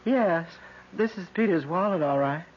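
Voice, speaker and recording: An actress playing a composed, guarded wife who has just been widowed, in a radio drama. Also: female voice, fairly low pitch, expressive, normal speed, background noise, natural voice. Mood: anxious, subdued. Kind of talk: 1970s radio drama acting. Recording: dull lo-fi radio broadcast.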